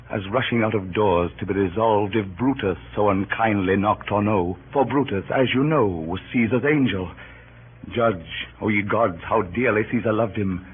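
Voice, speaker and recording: An actor playing a grieving Roman orator stirring a mob, in theatrical, declamatory old-time radio Shakespeare style. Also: male voice, medium pitch, natural voice. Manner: theatrical, declamatory, swinging from hushed grief to cries. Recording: muffled, hissy old radio recording.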